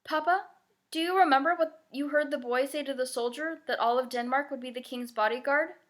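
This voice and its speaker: A woman's voice: sits high, fairly quick, pitched above the performer's own voice, natural voice. A woman voicing an earnest, anxious ten-year-old girl in a children's novel, asking emphatic, innocent questions. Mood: earnest questioning. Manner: wide-eyed, emphatic questioning. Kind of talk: children's novel read-aloud.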